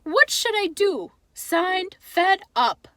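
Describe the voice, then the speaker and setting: A woman's voice: extremely high pitch, sing-song, takes it slowly, a bit bright, dry recording, voice pushed up, exaggerated voice. A woman voicing an earnest, enthusiastic father who reads the newspaper aloud emphatically in a children's storybook. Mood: eager.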